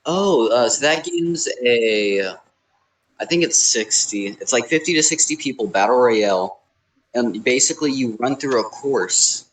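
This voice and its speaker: A man's voice: medium pitch, lively intonation, unhurried, low-quality recording. A young man co-hosting a podcast, explaining things in a matter-of-fact, conversational way. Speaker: podcast co-host. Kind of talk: casual chat, explaining.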